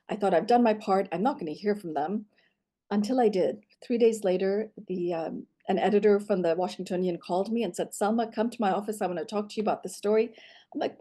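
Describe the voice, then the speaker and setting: A middle-aged woman telling her personal story in an interview, reflective and measured, often saying "you know". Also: medium pitch, warm tone. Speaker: guest storyteller.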